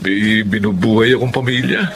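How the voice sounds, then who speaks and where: A man's voice: fairly low pitch, fairly even intonation, normal speed, loud music behind the voice, natural voice. An actor playing a humble, polite driver who works to support his family, in an audio drama. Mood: deferential. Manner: polite, deferential. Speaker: driver, Lucas.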